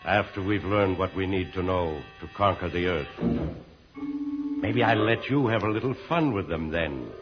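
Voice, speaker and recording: A man playing an evil, gloating tyrant of Mars with a slow, menacing delivery in an old-time radio serial. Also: low voice, lively intonation. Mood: gloating menace. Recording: dull vintage radio recording, loud music.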